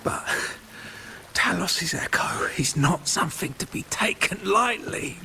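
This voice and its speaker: A man playing a frail, weary but caring old man in a video game, halting and breathy, with a weak coughing delivery. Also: high-pitched, lively intonation, noisy background, heavily acted. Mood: worried, grateful. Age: older.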